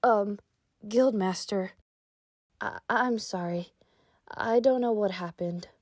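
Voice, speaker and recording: A woman voicing an insecure young fox creature in a comic dub, apologetic, confused and halting. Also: medium pitch, darkish tone, dry recording, studio quality. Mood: apologetic, downcast. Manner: hesitant, halting. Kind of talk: animated comic dub acting.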